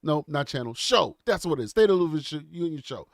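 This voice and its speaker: A man's voice: on the high side, expressive, normal speed, no room sound, clean studio sound. A man with a cold and a congested voice, hosting a casual livestream in a chatty, rambling way and laughing often. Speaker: show host.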